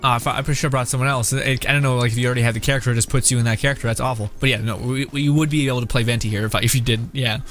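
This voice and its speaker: A man's voice: mid-range voice, fairly even intonation, racing, hardly any pauses, a bit bright. A young man giving casual gameplay commentary as a streamer, rambling, jokey and slangy. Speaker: gaming streamer. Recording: game music behind the voice.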